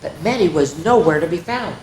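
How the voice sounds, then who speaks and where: An older woman hosts a children's storytime, reading aloud and chatting in an expressive, folksy way straight to young listeners. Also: lowish voice, a little fast. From rural United States, farm. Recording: echoey room with background noise.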